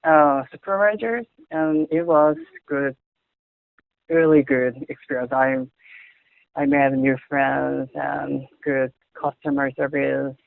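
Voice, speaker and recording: Korean guy speaking english, slow and halting, gravelly voice, tinny phone-like sound. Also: medium pitch, fairly even intonation, no room sound. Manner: halting, searching for words, frequent restarts. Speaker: interviewee. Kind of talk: casual conversation in English.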